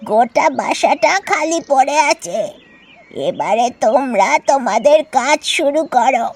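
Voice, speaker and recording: A woman voicing a bossy, scheming mother crow in a cartoon story, instructing slowly and deliberately. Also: high-pitched, expressive, a little mellow.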